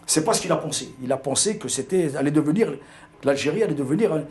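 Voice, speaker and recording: A man's voice: on the high side, animated, quick pace, darkish tone, slight background noise. An older man from Algeria with a pied-noir accent, telling his memories in an interview, hesitating and repeating words. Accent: pied-noir French. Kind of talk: recounting personal memories.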